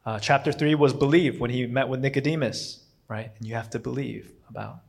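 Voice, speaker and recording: Man, non-native English speaker, casual preacher, mid-pitched voice, halting self-corrections. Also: vocal fry, breathy edge. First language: not English. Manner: rambling, self-correcting, with frequent fillers and restarts. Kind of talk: casual conversational sermon.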